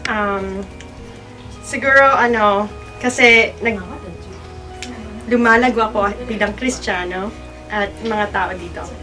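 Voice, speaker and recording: A woman's voice: mid-range voice, measured pace, long pauses. A woman from the Philippines with a Filipino accent gives a hesitant testimonial. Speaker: church member giving testimonial. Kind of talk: hesitant testimonial, interpreted by partner. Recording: distant mic, faint music.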